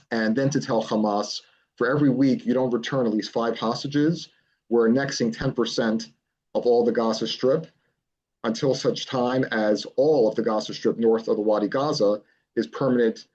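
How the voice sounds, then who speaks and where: A man as a political consultant guest, explaining a plan in measured speech with frequent pauses. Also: on the low side, a little mellow, dull audio. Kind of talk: remote interview, explaining a plan.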